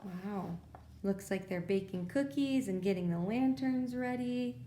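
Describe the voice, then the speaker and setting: A woman reading a picture book aloud to preschoolers as a story time host, in an expressive, simple way. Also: medium pitch, measured pace, slight background noise. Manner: expressive, simple delivery for young children. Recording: roomy, echoey sound.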